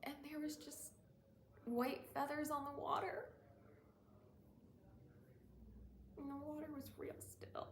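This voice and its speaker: A woman playing a shaken young woman haunted by cruelty she witnessed, in a halting, emotional dramatic stage monologue. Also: high-pitched, flat delivery, voice pushed up. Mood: stunned, grieving. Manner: halting, hushed and breathy, swelling into anguished cries. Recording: roomy echo, faint background noise.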